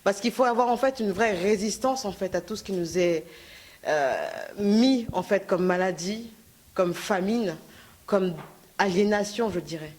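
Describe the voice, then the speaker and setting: An earnest speaker addresses a conference audience in a mid-range voice. The delivery is hesitant and sincere, full of pauses, fillers and restarts. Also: medium pitch. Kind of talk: prepared public address.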